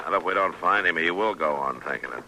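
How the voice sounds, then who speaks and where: A noisy old radio western recording carries a stern American frontier lawman. The voice sits very deep yet cuts sharply. He is terse and gruff, a weary authority who expects to be obeyed. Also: expressive, fast talker, sharp tone, full character voice. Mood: firm, curt.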